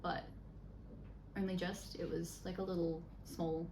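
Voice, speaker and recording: A woman with a lowish voice, talking frankly and easily as an interview guest. The room sounds echoey, with a faint hiss underneath. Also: little pitch movement, a little slow, darkish tone. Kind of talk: casual chat. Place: indoor room during a makeup session.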